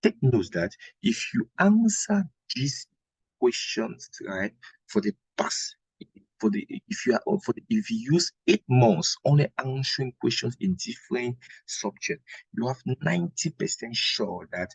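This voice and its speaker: A man's voice: medium pitch, big pitch swings, a little slow, creaky, breathy edge, dry recording, rough recording. A man with a Cameroonian accent teaching an exam revision lesson, didactic and repeating phrases for emphasis. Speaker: history teacher.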